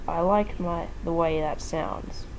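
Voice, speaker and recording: A woman's voice: fairly low pitch, fairly even intonation, takes it slowly, darkish tone, a little hiss or hum, low-quality recording. A woman voicing an affectionate, modest male animatronic pirate fox, using pirate talk in an amateur fan fiction reading. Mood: happy, modest.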